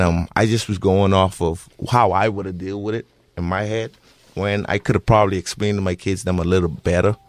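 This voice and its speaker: A man in his thirties with a Bahamian accent, answering interview questions earnestly with many pauses and restarts. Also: on the low side, no echo, studio quality. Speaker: interviewee, parent.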